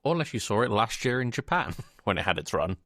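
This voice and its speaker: A man's voice: fairly low pitch, big pitch swings, fast, fairly bright tone, dry recording, clean studio sound. A man co-hosting a podcast, casually explaining things about films and searching a little for his words. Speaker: podcast co-host. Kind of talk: casual film review chat.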